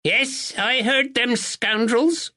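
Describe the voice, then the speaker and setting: A man voicing a sharp, relentless, bedridden elderly mother with a dialect. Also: extremely high pitch, steady tone, slow pace, voice pushed up, heavily acted. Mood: sharp, insistent. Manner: blunt, relentless, dialect "were".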